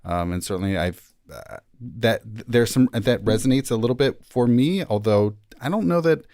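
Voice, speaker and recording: A man giving measured, thoughtful interview answers, carefully qualifying and explaining his points as a rabbi guest. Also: medium pitch, a little mellow, dry recording. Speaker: rabbi and senior living chaplain, podcast guest.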